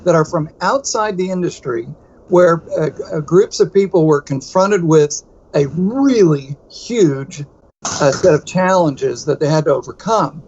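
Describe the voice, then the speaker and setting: A middle-aged Texan man co-hosting a chatty podcast. His voice sits a little high, and the recording sounds slightly dull and muffled. He rambles and jokes at his own expense, often restarting his sentences. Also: measured pace, a little mellow, a little hiss or hum. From United States. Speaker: podcast co-host. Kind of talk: casual conversational podcast.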